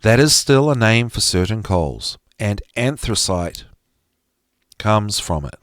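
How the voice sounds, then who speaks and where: A man giving an expressive, emphatic reading of a psychology lecture, with deliberate phrasing that drops dramatically at the ends of phrases. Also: lowish voice, dry recording, clean studio sound. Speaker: podcast host reading aloud from a psychology text.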